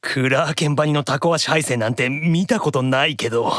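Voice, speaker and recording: A man voicing a reclusive, nerdy male demon in an anime-style audio drama, excitable and wary. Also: on the high side, fairly quick, dry recording, studio quality, voice pushed down, natural voice. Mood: puzzled, wary.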